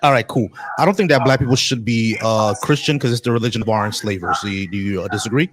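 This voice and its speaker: A man's voice: fairly low pitch, fast talker, noisy background. A man hosting a call-in debate show, pressing his callers and often cutting in with "hold on, bro.". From Haitian family background. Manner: interrupting, repeats words, says "hold on, bro".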